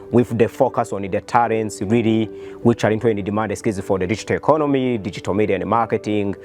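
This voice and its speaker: A man's voice: on the low side, a little mellow. A man with a Rwandan accent speaking as a company founder, explaining his business in an earnest interview style. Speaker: startup founder and CEO.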